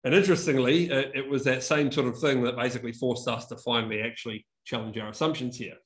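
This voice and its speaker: A young man from New Zealand, his accent softened by years in Australia, giving a chatty online conference talk over a video call from a room indoors. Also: medium pitch, steady tone, fast talker. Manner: casual, chatty, restarts words often, laughs at himself. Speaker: conference speaker. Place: room with a whiteboard and brick wall, indoors. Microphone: video call webcam.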